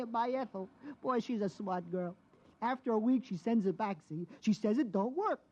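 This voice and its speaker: An actor playing a good-natured working-class bus driver, rambling and colloquial, in a 1950s radio drama. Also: male voice, sits high, expressive, moderate pace, darkish tone. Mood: enthusiastic, confiding. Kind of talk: 1950s science fiction radio acting. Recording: dull vintage radio recording.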